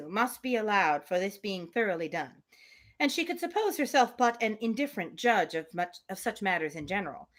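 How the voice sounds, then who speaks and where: A woman's voice: on the high side, a bit bright. A woman reading a classic novel aloud on a livestream, slipping into chatty asides to her listeners.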